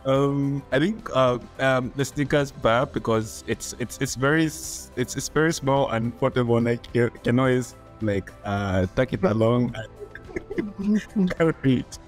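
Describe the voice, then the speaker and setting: A man from Zambia, an interview guest, answering in a hesitant, soft-spoken way. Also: mid-range voice, measured pace, faint music behind.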